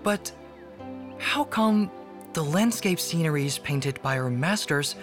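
Eager, animated young painter. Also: male voice, on the high side, slow pace. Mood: earnest, deferential. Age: young adult. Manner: earnest, respectful. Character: eager, deferential seventeen-year-old court painter, passionate young man. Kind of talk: radio drama acting. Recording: music behind the voice.

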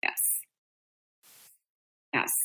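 A woman's voice: very slow, bright, forceful tone. A middle-aged woman guest, telling her story in an earnest, emphatic way during an interview conversation. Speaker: interview guest, author and speaker.

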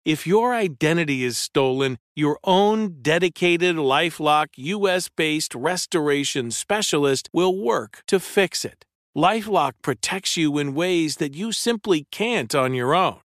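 Dry, higher male ad voice. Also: expressive, a little slow, a bit bright, no room sound. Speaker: ad reader. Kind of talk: commercial read.

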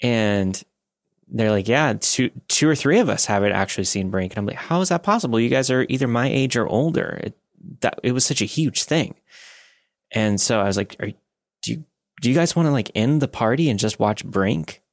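A man's voice: medium pitch, animated, normal speed, no echo, clean studio sound. A man co-hosting a podcast, chatting casually and telling personal anecdotes with chatty enthusiasm. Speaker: podcast co-host.

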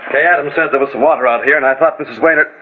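An actor playing a quick, defensive, smooth-talking murder suspect in a 1940s radio mystery. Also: male voice, medium pitch, close to an everyday voice. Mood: polite, brisk. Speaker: supporting actor in radio drama. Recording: narrow-band, noisy 1940s radio recording.

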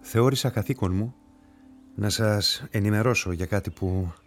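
An actor playing an earnest, awkward police officer in a radio drama, speaking formally and hesitantly. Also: male voice, on the low side, faint music behind, close to an everyday voice.

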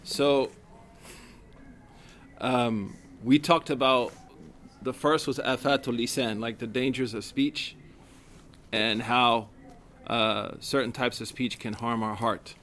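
A man with a deep voice and a cold, teaching a religious study circle at a community center in a relaxed, conversational way. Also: takes it slowly, long pauses, a little hiss or hum. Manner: conversational, with many fillers and restarts. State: has a cold. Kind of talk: casual religious lecture.

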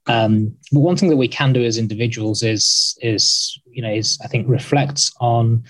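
A man speaking as an academic guest on a discussion panel, hesitant and full of pauses and restarts. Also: medium pitch, no echo, poor audio. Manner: hesitant, many ums and restarts.

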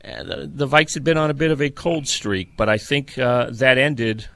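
Bright, forceful middle-aged male sports podcaster. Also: medium pitch, normal speed, dry recording. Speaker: football podcast host. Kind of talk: conversational sports analysis interview.

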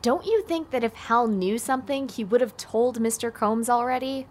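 A woman's voice: fairly high pitch, moderate pace, a little mellow, close to an everyday voice. An actress playing a blunt, wary teenage girl in an audio drama, with a casual, skeptical way of talking. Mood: defensive, cautious.

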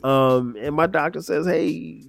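A man's voice: on the high side, measured pace, gravelly, soft background music. Slightly nasal man in his fifties. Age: 50s. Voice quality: slightly nasal, stuffed-up from sinus trouble. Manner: relaxed, rambling, frequent fillers and restarts. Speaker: podcast host. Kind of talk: casual solo podcast reflection.

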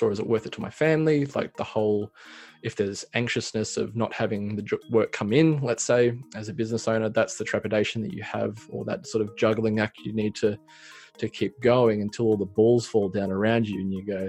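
A man's voice: on the low side, darkish tone. A man in his thirties, a small business owner, talking reflectively in a casual interview with many fillers. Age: 30s.

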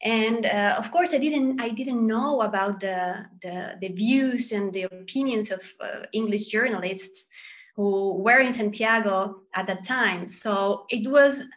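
A woman from Chile, a guest journalist, chatting casually with fillers and lively turns. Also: mid-range voice, unhurried. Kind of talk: casual chat.

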